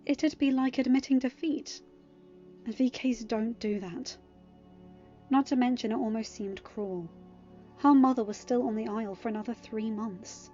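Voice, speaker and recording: Higher-voiced woman reading a story. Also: moderate pace, warm tone, dull audio, soft background music. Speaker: fan fiction audiobook reader. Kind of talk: scripted story narration.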